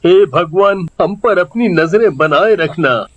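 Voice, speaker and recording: A man voicing an excitable, easily worried young village husband and father in an animated story, speaking expressively. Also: high voice, slow, no room sound, lo-fi sound, exaggerated voice. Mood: anxious. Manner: expressive, stammering when scared.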